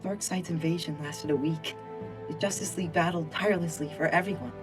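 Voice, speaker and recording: A man voicing a somber, haunted woman who narrates a comic book catastrophe in a hushed, grave, measured way. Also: a little high, natural voice. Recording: loud music behind, slightly distant.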